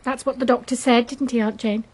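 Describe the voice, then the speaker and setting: An actress with an English accent playing a kind, fretful younger vicar's wife in a British radio drama, earnest and solicitous. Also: female voice, on the high side, steady tone, brisk, a little mellow, a little hiss or hum, lightly acted. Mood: subdued, earnest.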